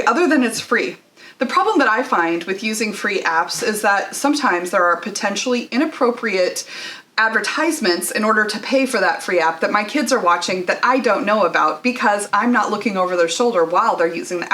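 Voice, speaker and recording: A woman's voice: mid-range voice, expressive, normal speed, a bit bright. Conversational woman giving a casual review. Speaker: homeschooling mom giving a product testimonial. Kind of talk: casual direct-to-camera product review.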